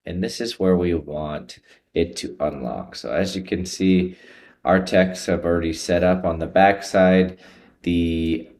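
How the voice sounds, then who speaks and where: A man presents a software tutorial, explaining each step in an informal way. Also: sits low.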